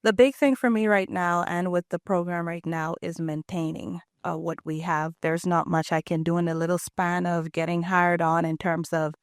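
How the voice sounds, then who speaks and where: A young woman from the Bahamas with a Bahamian accent, a track coach answering interview questions in an earnest, steady way. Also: on the low side, normal speed, hardly any pauses, smooth, no room sound, studio quality. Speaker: head track and field coach, guest.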